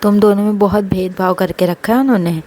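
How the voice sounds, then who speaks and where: A woman's voice: mid-range voice, steady tone, brisk, darkish tone, lightly acted. A woman voicing a spiteful, defiant gossiping neighbour in a family story. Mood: insinuating. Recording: clear background noise.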